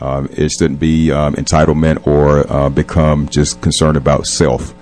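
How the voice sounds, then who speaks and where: Male interview guest, very low voice, reflective, measured, hesitant phrasing for a conversational radio segment. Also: level tone, a little mellow. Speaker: police captain and community leader, interview guest.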